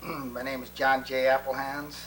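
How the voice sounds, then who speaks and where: Older Colorado-born man, flat monotone. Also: mid-range voice, normal speed, a little hiss or hum, poor audio. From Colorado, United States. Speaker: interviewee. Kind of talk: recalled testimony.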